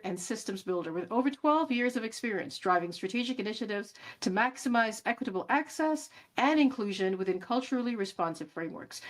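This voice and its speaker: A woman hosting an interview talk show, giving a measured, formal introduction of her guest and asking questions. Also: medium pitch.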